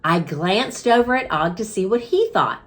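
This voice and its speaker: A woman voicing a small, eager, self-important male hamster in an expressive, exclamatory storybook telling. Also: medium pitch, normal speed, lightly acted. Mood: earnest, indignant.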